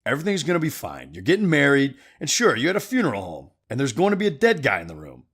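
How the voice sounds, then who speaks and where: A man's voice: mid-range voice, wildly swooping pitch, quick pace, a bit bright, breathy edge, heavily acted. A man plays a cocky, easygoing best man for an audio drama. His mid-range voice swings up and down freely. The delivery is goofy and joking. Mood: breezy, cocky.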